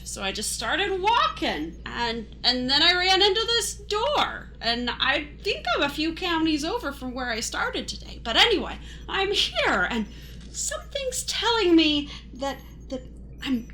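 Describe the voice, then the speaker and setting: A woman's voice: sits high, sing-song, unhurried, bright, forceful tone, exaggerated voice. A woman playing a timid, aimless thirty-something drifter, stammering and apologetic, in an improvised comedy radio play. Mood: earnest, searching. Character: timid, aimless thirty-five-year-old wanderer named Fred. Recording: light background noise.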